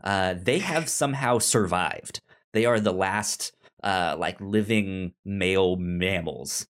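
A man's voice: low-pitched, takes it slowly. A man with a pronounced stutter, chatting casually as a podcast co-host about things he recommends. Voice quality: pronounced stutter, repeating sounds and syllables. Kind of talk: casual discussion and recommendations of books and shows.

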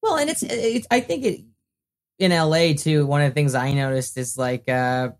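A man's voice: a little high, normal speed. A man hosting a podcast interview, asking questions in a rambling, hesitant way. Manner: rambling, hesitant phrasing with restarts. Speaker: podcast host. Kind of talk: casual interview.

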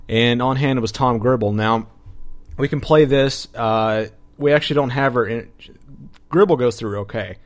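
A man's voice: medium pitch, moderate pace. A man hosting a podcast, recounting an event in casual chat with a crude, mocking manner. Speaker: podcast host.

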